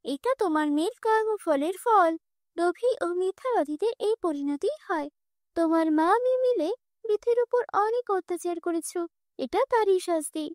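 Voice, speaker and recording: A woman voicing a kind female fairy in a cartoon story, with a gentle, reassuring manner. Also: very high voice, expressive, moderate pace, dry recording, studio quality. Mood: stern.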